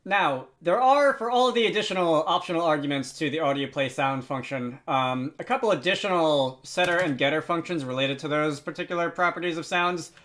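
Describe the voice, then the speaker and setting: A man narrating an unscripted software tutorial, thinking aloud with frequent hesitant pauses. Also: fairly high pitch. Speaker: tutorial host.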